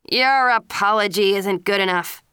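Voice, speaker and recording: A woman's voice: medium pitch, expressive, measured pace, pushed and bright, smooth, dry recording, clean studio sound. Woman reading as a harsh angry police-chief dad.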